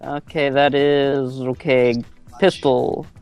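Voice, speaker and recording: Clear-voiced, hesitant male tabletop player. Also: medium pitch, flat delivery, slow pace, darkish tone, soft background music. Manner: hesitant, repeating words. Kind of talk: casual chat during a game.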